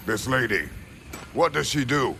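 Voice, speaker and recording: An actor playing a terse, stern and imposing warrior in a video game, speaking in blunt, formal phrases. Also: male voice, medium pitch, lively intonation, measured pace, vocal fry, background noise. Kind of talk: video game character acting. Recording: echoey game scene with music.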